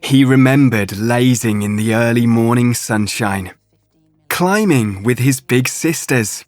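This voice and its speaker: A man narrating a children's bedtime story as a storyteller in a gentle, measured manner. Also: mid-range voice, no room sound. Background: music.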